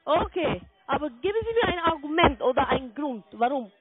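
High-pitched foreign-accented saleswoman on the phone. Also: expressive, no room sound. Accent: foreign accent in German. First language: not German. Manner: halting, insistent questioning. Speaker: call center sales agent. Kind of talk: telephone sales call. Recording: narrow-band phone line.

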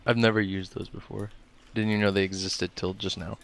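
A man's voice: fairly low pitch, flat delivery, brisk, vocal fry. A man in casual co-op gaming chat, teasing his friends and swearing freely. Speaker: video game player.

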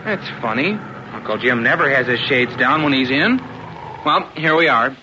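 A man's voice: mid-range voice, lively intonation, normal speed, lightly acted. An actor playing an eager teenage boy in an old radio adventure serial, earnest and exclamatory, full of wholesome slang. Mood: puzzled. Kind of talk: 1940s radio serial acting. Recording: noisy old radio recording.

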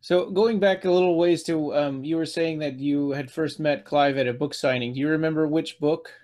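A middle-aged man with a fairly high-pitched voice co-hosts an interview. He chats casually, putting short questions and reactions over a video call. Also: fairly even intonation, normal speed, darkish tone. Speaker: podcast co-host, interviewer. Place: room at home, indoors, on a video call.

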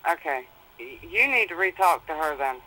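A woman's voice: sits low, animated, moderate pace, pushed and bright, low-quality recording. A mother on the phone with her jailed daughter, patient and reassuring, explaining the court plans and calling her baby. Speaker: mother of the inmate. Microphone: telephone.

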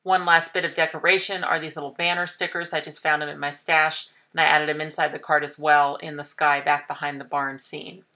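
A woman in her thirties calmly walking viewers through a papercraft project step by step, in a gentle, patient, explanatory way. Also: deep voice, a little fast, few pauses. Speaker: craft tutorial host. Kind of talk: calm step-by-step craft demonstration.

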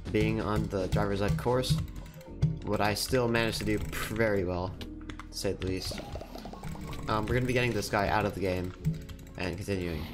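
Teen guy gaming commentary, stuffy nose from a cold, lowish voice, music going underneath. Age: teens. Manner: chatty, upbeat, quick laughs. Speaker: gaming YouTuber. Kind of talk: casual gameplay commentary. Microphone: desk microphone. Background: background music and keyboard typing.

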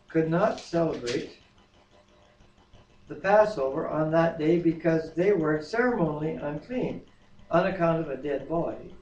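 A man's voice: fairly high pitch, darkish tone. An older man with a tenor voice, co-hosting a home Bible reading and singing a hymn at a keyboard. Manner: sings slowly while playing keyboard. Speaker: co-host reading scripture. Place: wood-paneled home dining room, indoors. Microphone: far camera. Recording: roomy, distant, dull home video.

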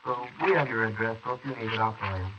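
A man's voice: on the low side, quick pace, lightly acted. Brief: impatient detective sergeant, clipped, played by a man, dull hissy 1940s wire recording. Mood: brisk. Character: brisk, curt police detective sergeant. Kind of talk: 1940s radio acting.

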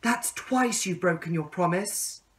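A man's voice: sits high, measured pace, recorded from a distance, voice pushed up. A man voicing a sweet but reproachful young female caterpillar, lovestruck and demanding, in a children's storybook.

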